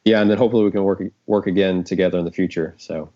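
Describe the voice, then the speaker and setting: Guy w a really low voice hosting a casual chat, kinda muffled lo-fi audio. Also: fairly even intonation, very fast, mellow. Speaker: host and creator of an audio drama. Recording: dull lo-fi audio.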